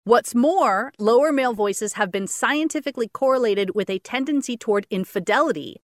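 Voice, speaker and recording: A woman with a fairly low voice for a woman, co-hosting a chatty talk show, lively and often laughing. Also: a little fast, dry recording, studio quality. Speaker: podcast co-host. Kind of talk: casual chat presenting a topic.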